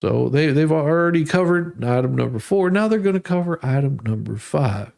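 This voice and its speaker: An American man with a slightly higher than average voice reads out formal documents for comic effect. He is folksy and sarcastic, slipping casual asides between the official wording. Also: expressive, normal speed, vocal fry, darkish tone. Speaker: host reading out legal documents. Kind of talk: humorous dramatic reading with casual asides.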